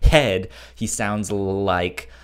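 A man co-hosting a podcast in casual chat, halting and repeating words while searching for phrasing. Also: lowish voice, fairly even intonation, noisy background. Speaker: podcast co-host. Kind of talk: casual chat reviewing manga.

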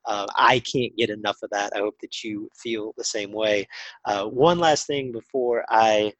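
A man's voice: on the low side, a little slow, dry recording, low-quality recording. A man hosting a drag racing podcast, talking casually with many fillers while getting over a cold that has nearly taken his voice. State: recovering from a cold, near losing voice. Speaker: podcast host. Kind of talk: podcast interview.

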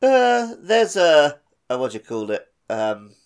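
A man's voice: a little high, very animated, a little slow, gravelly, clean tone. A man co-hosting a casual gaming podcast, talking wryly and conversationally. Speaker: podcast co-host.

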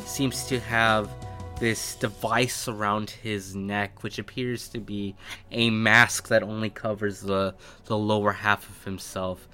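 A man narrating a tabletop roleplaying game as game master, improvising his descriptions in halting phrases. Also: on the low side, flat delivery, pushed and bright. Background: background music.

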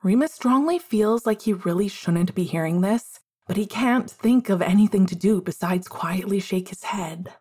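A woman reading a story aloud as its narrator, in a measured storytelling manner. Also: mid-range voice, dark tone, slightly rough. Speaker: fiction narrator.

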